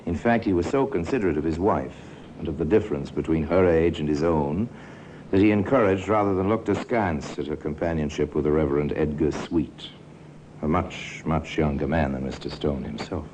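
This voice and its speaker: A man playing a grave, macabre museum guide and narrator in a 1950s radio crime drama, speaking slowly and theatrically with dramatic pauses. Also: very deep voice, a little mellow, low-quality recording. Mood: wry. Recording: hissy old 1950s radio recording.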